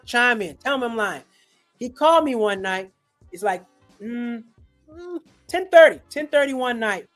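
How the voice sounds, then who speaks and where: A comedian hosting a casual livestream chat, telling stories in an animated, slangy way. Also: mid-range voice. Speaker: host and comedian.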